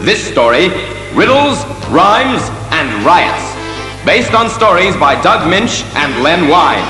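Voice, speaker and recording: A man's voice: a little high, big pitch swings, bright, forceful tone. Dramatic male narrator over prominent music. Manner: portentous, measured phrasing. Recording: echoey reverb, music behind the voice.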